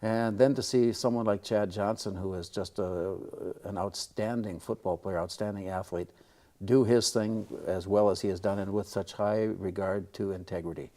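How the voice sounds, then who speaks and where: An older man with a Midwestern American accent, talking modestly and in wordy, measured phrases as an interview guest. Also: lowish voice, fairly even intonation, dark tone. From small-town upper Midwest, United States. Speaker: radio play-by-play broadcaster, interview guest. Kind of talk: casual interview chat.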